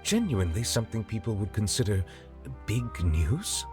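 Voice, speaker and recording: A grave, ancient judge who weighs each formal word with calm courtesy. His voice sits a little low, and clear game music plays behind him throughout. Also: normal speed. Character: solemn, formal male judge of great age. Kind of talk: game character dialogue.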